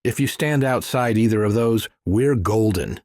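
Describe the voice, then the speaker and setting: Slick rock guitarist, male reader acting: charming social engineer, casual bro talk, lifted pitch, thriller audiobook. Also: moderate pace, darkish tone, no echo, studio quality, close to an everyday voice. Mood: friendly, coaxing. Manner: friendly, laid-back charm.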